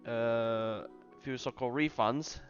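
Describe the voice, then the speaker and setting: A man's voice: mid-range voice, slow pace, dull audio. A man giving casual, hesitant commentary as he streams a game playthrough. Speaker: gaming streamer. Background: game music.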